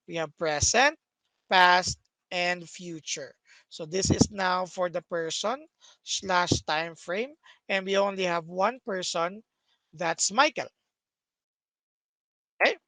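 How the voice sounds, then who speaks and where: Slow gravelly male math teacher. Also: sits high, sharp tone, no echo, rough recording. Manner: patient step-by-step explaining with frequent "okay". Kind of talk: recorded screen-share math lesson.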